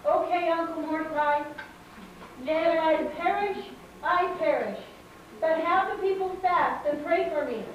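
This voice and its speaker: Woman voicing a very high-pitched young puppet queen. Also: unhurried, muffled recording. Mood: resolute. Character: young puppet queen, brave but hesitant, polite. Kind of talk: puppet show acting. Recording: echoey, distant live stage recording, faint noise.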